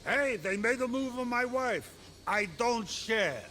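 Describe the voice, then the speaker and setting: A smug, boastful video game god. His voice is high and sardonic, flippant in delivery, with clear ambient noise behind it. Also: expressive, slow pace, sharp tone, heavily acted. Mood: possessive. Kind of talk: video game cutscene voice acting.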